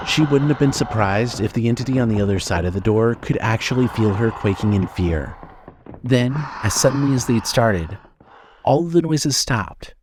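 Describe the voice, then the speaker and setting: A man with a fairly low voice narrates a horror story from a script. His delivery is dramatic, and a faint bed of ambient noise sits behind him.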